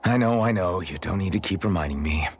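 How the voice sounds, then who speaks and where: A man's voice: low voice, animated, fairly quick, slight background noise. A man playing an earnest, patient young fantasy hero in a video game. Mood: defensive. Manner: earnest, conciliatory.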